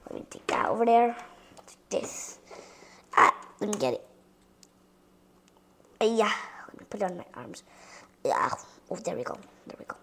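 A female voice giving a chatty tour of a toy dollhouse playset, addressing viewers directly and sometimes dropping into soft whispers. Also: mid-range voice, big pitch swings, normal speed, long pauses. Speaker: toy reviewer.

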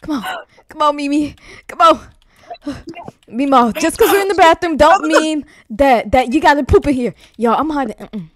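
A woman improvising a comic online game roleplay, bossy and excitable, talking in slang. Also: high voice, moderate pace, no echo. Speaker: roleplay video player.

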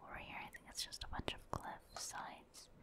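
A woman doing ASMR gameplay commentary in a soft, breathy whisper, unhurriedly musing aloud and casually talking to her viewers. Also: normal speed. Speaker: game streamer, ASMR creator.

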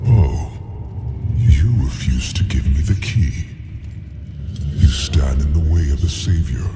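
A proud, self-righteous angel in a fantasy game cinematic. The delivery is haughty and grandiose, extremely low and very slow, over loud swelling music. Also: male voice, animated, full character voice. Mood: haughty, menacing. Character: proud, imperious male angelic self-proclaimed savior. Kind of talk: theatrical game cutscene acting.

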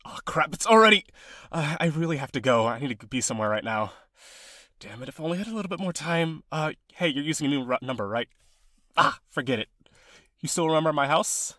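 A young man playing a friendly, sentimental old friend in an audio roleplay, casual and expressive with some hesitation. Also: mid-range voice, dry recording, studio quality. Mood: hurried, friendly. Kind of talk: ASMR-style roleplay, one side of a conversation.

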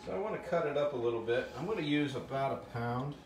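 A man's voice: mid-range voice, animated, quick pace. A man hosting a cooking show, chatting and explaining the dish as he cooks in a kitchen. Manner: chatty, explains while he works. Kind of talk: casual cooking demonstration. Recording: roomy kitchen sound, mic at a distance, clear background noise. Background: frying and sizzling.

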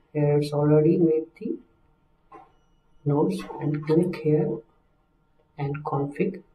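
A man narrating a software tutorial as a technical instructor, step by step with halting phrasing. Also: fairly high pitch. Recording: roomy, distant microphone.

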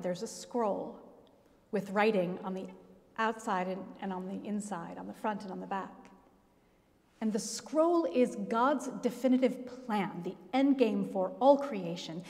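A middle-aged woman pastor preaching a sermon at a pulpit, telling the story expressively with dramatic pauses. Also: mid-range voice, a little mellow. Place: large church, at a pulpit. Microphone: gooseneck pulpit mic. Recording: long church reverb.

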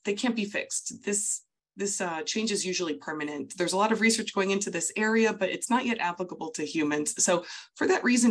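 A woman audiologist with a low voice, giving a clear and patient explanatory webinar talk over slides on a video call. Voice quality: low-pitched voice.

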